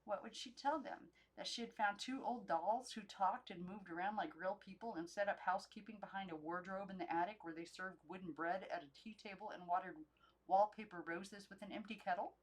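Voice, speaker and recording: A woman's voice: medium pitch, fairly quick, rough recording. A young adult woman reading a children's novel aloud as an amateur audiobook narrator, unedited.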